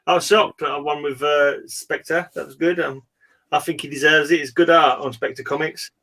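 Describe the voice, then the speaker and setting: British man, bright forceful voice, slightly high, casual chat, matey hangout host. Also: steady tone, moderate pace. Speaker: host of a comics hangout stream.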